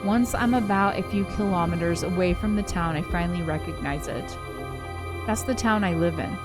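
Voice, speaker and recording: A woman reading a visual novel aloud as a game co-host, expressive in the story and giggly in her casual asides. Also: lowish voice, normal speed. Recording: music playing behind the voice. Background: game soundtrack music.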